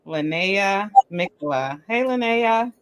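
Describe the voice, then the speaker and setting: A woman's voice: mid-range voice, expressive, slow pace, a bit bright, smooth. A woman hosting a podcast interview asks her questions in an enthusiastic, effusive way. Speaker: podcast host.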